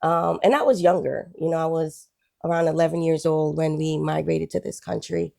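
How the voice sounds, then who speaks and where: A woman in her forties from Guyana, a guest telling her life story in a relaxed, chatty way. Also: low voice, normal speed, creaky. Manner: relaxed, chatty, with frequent "um" and "you know".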